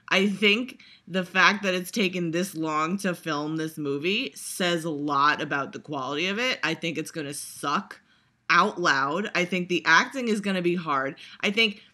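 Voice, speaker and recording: Annoyed woman venting on her vlog, lots of likes and ums, cussing, sharp bright voice. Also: fairly low pitch, a little slow. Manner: exasperated, profane, lots of fillers. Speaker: vlogger reviewing a trailer. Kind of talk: casual ranting reaction.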